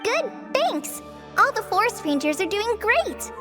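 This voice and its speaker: A woman's voice: very high voice, sing-song, measured pace, exaggerated voice. A woman playing a modest, earnest teenage girl ranger with a light, youthful, hesitant and polite delivery in an anime game dub. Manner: hesitant, self-effacing, polite. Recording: music playing behind the voice.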